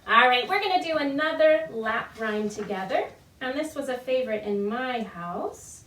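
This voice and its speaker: A woman's voice: on the high side, lively intonation, normal speed, a bit bright, a little hiss or hum. A woman children's storytime leader giving friendly, encouraging instructions to parents and babies in a sing-song, demonstrative way. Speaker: children's librarian leading a baby storytime. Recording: roomy echo, distant mic.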